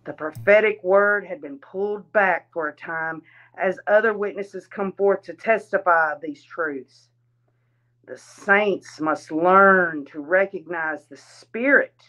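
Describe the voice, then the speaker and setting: A woman reading a written text aloud in a halting way, breaking phrases into short pieces. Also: medium pitch, a bit bright. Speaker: reader of a written account. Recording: dull, lo-fi sound.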